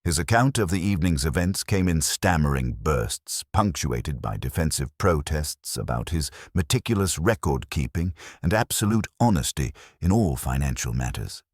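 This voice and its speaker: Very deep male mystery narrator. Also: very animated, normal speed, hardly any pauses, husky, no echo, clean studio sound. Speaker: audiobook narrator. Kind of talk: scripted mystery story narration.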